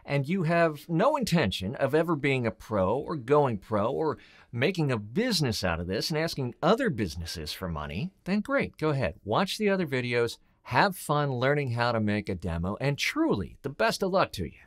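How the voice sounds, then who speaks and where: A man's voice: medium pitch, sing-song, normal speed. A middle-aged man hosting a scripted advice video to camera, speaking in a blunt, emphatic, colloquial way. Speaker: host, voiceover coach and voice actor.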